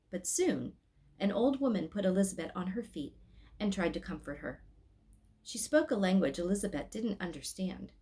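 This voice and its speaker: Animated woman reading a story aloud. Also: fairly low pitch. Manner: animated, addresses listeners with asides. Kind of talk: expressive informal read-aloud narration.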